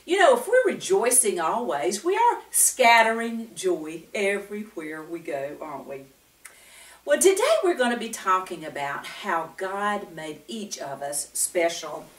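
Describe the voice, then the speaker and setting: An older woman leads story time for young children, addressing them directly and often asking them questions. Her delivery is slow, with roomy pauses between phrases, and her pitch swoops up and down expressively. She sounds some distance from the microphone, with the echo of the room around her. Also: sing-song. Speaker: host of a children's Bible story show.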